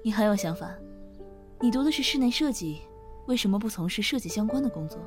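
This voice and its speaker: An actress playing a composed, caring female company director in an audio drama, reserved and decisive, speaking in a calm, controlled way. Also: mid-range voice, quick pace, music in the background, natural voice. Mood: thoughtful. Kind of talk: Mandarin audio drama acting.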